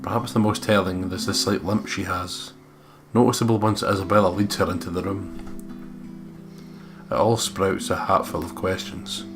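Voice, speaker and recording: A man's voice: deep voice, flat delivery, normal speed. A man reading visual novel narration aloud and giving casual, sometimes profane commentary as a let's play co-host. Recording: music clearly behind the voice.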